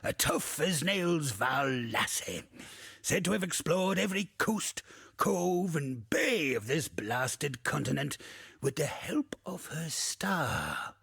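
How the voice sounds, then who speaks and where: An actor playing a bawdy, wistful old ghost in a video game, theatrical and drawling, with a gravelly, rasping voice. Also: male voice, on the high side, very animated, takes it slowly, a bit bright, no room sound, studio quality, exaggerated voice. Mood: wistful, fond. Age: older. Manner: theatrical, salty archaic pirate talk, swooping and drawling. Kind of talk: video game character monologue.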